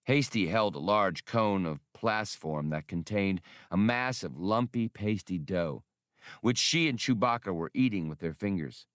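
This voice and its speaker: A man's voice: on the low side, expressive, measured pace, fairly bright tone, no echo, clean studio sound. A man reading an adventure story aloud as a dramatic, scripted narration. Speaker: audiobook reader.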